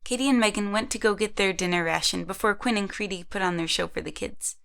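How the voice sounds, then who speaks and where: A woman's voice: mid-range voice, fast talker, vocal fry, a bit bright. Woman narrating an audio drama story.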